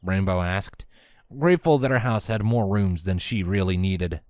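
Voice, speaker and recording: A man's voice: deep voice, normal speed, a little mellow, no room sound, studio quality. A man reading scripted story narration as the narrator of a fan fiction tale.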